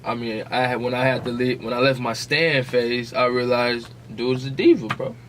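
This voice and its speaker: A man's voice: medium pitch, fairly even intonation, moderate pace, slight background noise. A man co-hosting a podcast in a casual, slangy, protesting way, debating informally like he is among friends. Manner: protesting, informal, peppers talk with "bro" and "fam". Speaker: podcast co-host.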